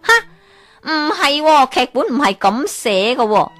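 A woman with a Hong Kong Cantonese accent tells a folk tale in a lively way. She often turns to the listener with rhetorical questions. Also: sits high, slow pace, faint music behind. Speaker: storyteller.

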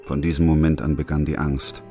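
Lead for radio play: composed doctor-narrator, extremely deep pitch, sober delivery over music. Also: male voice, level tone, fairly quick, mellow. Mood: sombre. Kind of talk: literary radio drama narration and dialogue.

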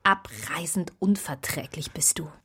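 A woman's voice: low-pitched, a little slow, a bit bright, a little hiss or hum. An actress in a radio drama playing an anxious, defiant young woman who speaks in an indignant, slangy way. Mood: scornful, defiant. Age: young adult.

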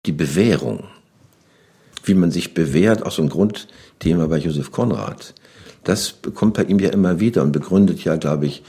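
An older man speaks in an extremely deep, gravelly voice. The tone is dark and mellow, and he comments thoughtfully, like a literary critic being interviewed. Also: normal speed.

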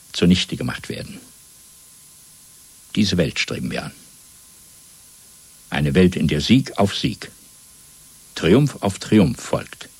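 Very deep, gravelly, menacing interrogator. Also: male voice, a little mellow, no echo, exaggerated voice, poor audio. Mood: fanatical, exultant. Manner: measured, domineering, rhetorical. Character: cold, menacing male Party interrogator, coolly fanatical. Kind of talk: German radio drama acting.

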